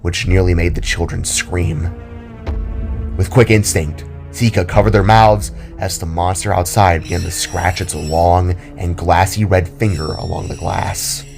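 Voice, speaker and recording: A man's voice: low voice, fairly even intonation, a little slow, gravelly, a little mellow. A man giving dramatic scripted narration as the storyteller of an audio drama. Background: background music.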